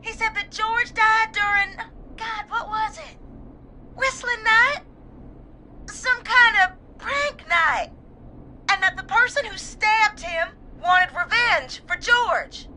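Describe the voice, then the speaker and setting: A shaken, tearful woman caller in a game drama. Her voice sits very high and sounds fragile. She speaks haltingly, full of grief. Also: expressive, noisy background, heavily acted. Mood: grieving, shaken.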